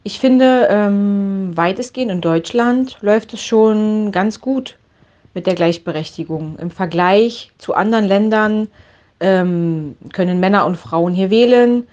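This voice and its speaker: A woman answers an interview question casually, often pausing on filler sounds. Her mid-range voice comes through a slightly dull, lo-fi recording. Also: medium pitch, a little mellow. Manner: hesitant with many fillers.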